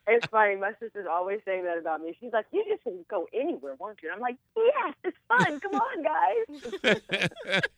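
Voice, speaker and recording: A woman guest actress chatting in a radio interview, bubbly and quick to laugh. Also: a little high, big pitch swings, a bit bright, no room sound. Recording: tinny phone line.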